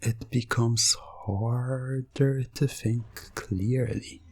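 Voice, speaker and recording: Very slow, breathy man narrating hypnosis. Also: mid-range voice, fairly even intonation, no room sound. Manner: measured, pausing between short phrases.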